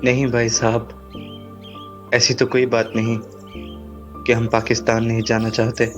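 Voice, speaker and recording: A man playing an earnest, flat-voiced son. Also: medium pitch, a little slow, music in the background, lightly acted. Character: devoted young man, son of an old villager. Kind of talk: audio drama acting.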